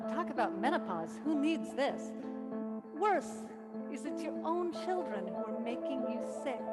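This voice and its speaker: A woman playing the Earth as a weary, reproachful ancient mother in a staged play reading, speaking solemnly and deliberately with wry asides. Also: high-pitched. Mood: weary, bitter. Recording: music behind the voice. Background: plucked guitar music.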